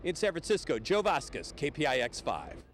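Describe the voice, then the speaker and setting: Lively American male news reporter, some noise. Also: fairly high pitch, moderate pace. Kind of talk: scripted news report narration.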